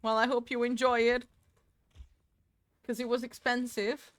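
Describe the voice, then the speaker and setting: Woman streamer, rambling chat with viewers, crisp voice, fairly high. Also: flat delivery, measured pace, no room sound. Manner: chatty, rambling, answers chat aloud. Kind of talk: casual gaming stream chat. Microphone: desk microphone.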